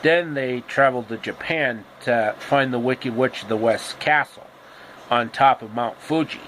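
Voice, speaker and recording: A man telling a story informally, with halting, deliberate phrasing and casual asides. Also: medium pitch, fairly bright tone, slight background noise, low-quality recording. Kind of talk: informal, improvised storytelling with pop-culture asides.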